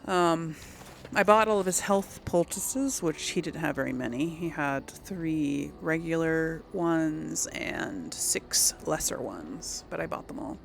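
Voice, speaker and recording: A woman's voice: deep voice, lively intonation, slow, gravelly, a little hiss or hum. A woman hosting a casual game playthrough, chatting and thinking aloud hesitantly as she role-plays dialogue. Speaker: gaming host and role-player.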